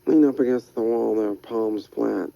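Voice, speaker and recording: A man voicing a cold gunman who speaks quietly and stresses every word the same. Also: medium pitch, a little slow, creaky, dry recording, muffled recording. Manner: flat, evenly stressed, quiet. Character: cold, smiling gunman, flat and menacing.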